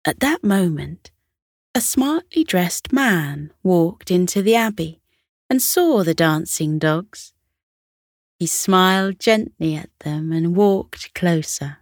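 A woman narrating a scripted bedtime story for children in a gentle, measured way. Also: lowish voice, animated, dry recording, clean studio sound.

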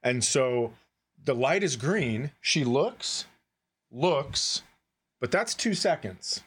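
A man's voice: mid-range voice, lively intonation, slow, a bit bright, breathy edge. A middle-aged American man co-hosting a podcast, telling comic stories casually in a wry, profane way and acting out the dialogue. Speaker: podcast co-host. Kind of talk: casual comedic storytelling.

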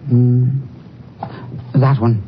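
An actor playing an anxious male crime victim in a 1950s western radio drama, speaking in a halting, earnest way. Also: mid-range voice, steady tone, faint music behind. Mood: unsure. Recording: muffled, faintly noisy vintage radio recording.

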